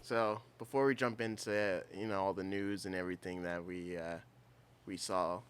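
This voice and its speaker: Man, low voice, casual co-host guiding the talk. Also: fairly even intonation, moderate pace, loud music behind the voice. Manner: easygoing, steers the conversation. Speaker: podcast co-host. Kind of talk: casual chat. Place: outdoors.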